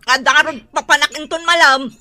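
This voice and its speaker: An actress playing an indignant, whiny, gossip-loving village wife in a comedy radio drama, complaining in animated exclamations. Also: female voice, high voice, fairly quick, soft background music. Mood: grumbling.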